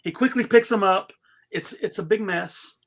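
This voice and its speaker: A woman's voice: medium pitch, normal speed. A woman reading stage directions as narrator in a live, comedic script read.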